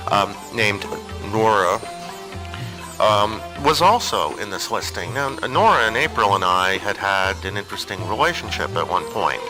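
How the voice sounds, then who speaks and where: Man, deep voice, wry and halting, wandering through personal anecdotes, music in the recording. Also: low-quality recording. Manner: hesitant, digressive, wry. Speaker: storyteller on an audio zine. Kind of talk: informal personal monologue, rambling anecdotes.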